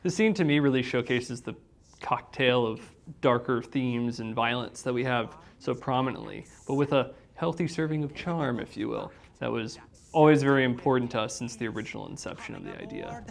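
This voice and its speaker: A man's voice: medium pitch, very animated, fairly quick, creaky. An American man from North Carolina giving interview commentary as an audio drama's creator. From North Carolina, United States.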